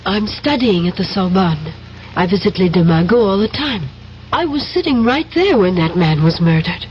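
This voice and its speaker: Woman acting a low-voiced, guarded femme fatale. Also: animated, normal speed, lightly acted. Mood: hesitant, earnest. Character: cool young female double agent, guarded and duplicitous. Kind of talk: 1970s radio drama acting. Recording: dull vintage radio broadcast, faint hum.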